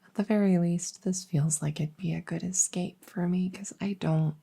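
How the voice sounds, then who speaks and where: A woman's voice: fairly low pitch, fairly even intonation, vocal fry, mellow, slightly rough. A woman playing a world-weary young princess in an intimate audio roleplay, speaking hesitantly and confidingly, sometimes close to a whisper. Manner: hesitant, halting, confiding, with trailing pauses.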